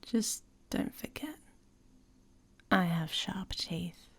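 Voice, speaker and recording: Woman playing a husky, whispery, taunting she-wolf. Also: lowish voice, lively intonation, drawn-out words, heavily acted. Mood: teasing, seductive. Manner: teasing, sardonic, often dropping into whispers. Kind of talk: intimate ASMR roleplay.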